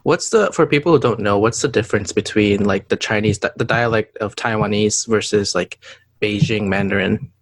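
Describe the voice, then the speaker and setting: A man hosts a casual interview, asking questions in a relaxed way and prompting his guest along. The recording is dry and close, with no room sound. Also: fairly low pitch, normal speed, no room sound.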